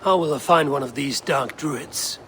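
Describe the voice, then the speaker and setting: An actor playing a grim, steady Viking warrior in a video game, speaking in a solemn, measured way. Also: male voice, mid-range voice, lightly acted. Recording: loud background noise.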